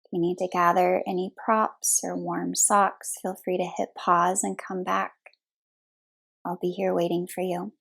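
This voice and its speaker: A woman leading a guided relaxation meditation as a yoga nidra instructor, speaking soothingly in short, unhurried phrases with long pauses. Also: on the low side, level tone, vocal fry, fairly bright tone, husky, low-quality recording.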